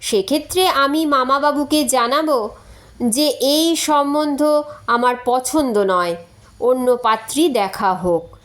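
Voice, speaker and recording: A woman's voice: high voice, slow. A woman reading aloud as an earnest, formal young man writing a polite letter in a story.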